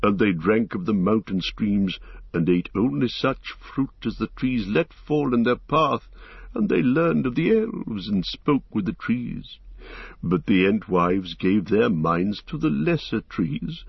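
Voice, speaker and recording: A man voicing an ancient, slow-spoken male tree giant, unhurried and musing, with a gravelly, husky voice. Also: fairly low pitch, expressive, no room sound, dull audio, full character voice. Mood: storytelling.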